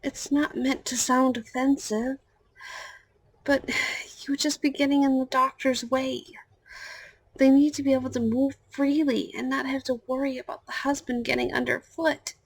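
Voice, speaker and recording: A woman's voice: high-pitched, steady tone, unhurried, husky, dry recording, heavily acted, low-quality recording. A woman playing a patient, reassuring hospital nurse in a scripted audio roleplay, speaking politely and formally. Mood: calm, reassuring.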